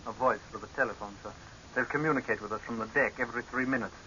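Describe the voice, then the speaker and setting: An actor playing an earnest, deferential doctor and deep-sea diving expert in a 1930s radio horror drama, speaking in a measured, respectful, formal way. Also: male voice, bright, forceful tone, natural voice. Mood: grave, explanatory. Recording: dull, hissy old 1930s radio recording.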